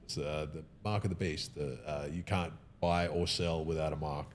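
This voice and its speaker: A man's voice: rumbling low, steady tone, normal speed, slight background noise. A man talking in a casual podcast conversation, opinionated and emphatic, often breaking off and restarting his sentences.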